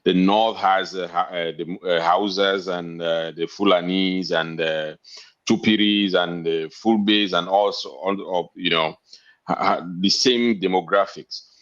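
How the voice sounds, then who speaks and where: A man in his fifties with a Cameroonian accent, talking politely and haltingly as an interview guest. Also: low-pitched, steady tone, a bit bright. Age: 50s. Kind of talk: casual interview talk. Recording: dull, lo-fi internet call audio.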